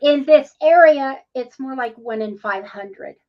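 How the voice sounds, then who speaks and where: Female co-host, rambling and chatty, slightly high voice, muffled sound. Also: unhurried. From Ohio, USA. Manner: chatty, rambling, with many ums. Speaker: podcast co-host. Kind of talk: casual interview conversation.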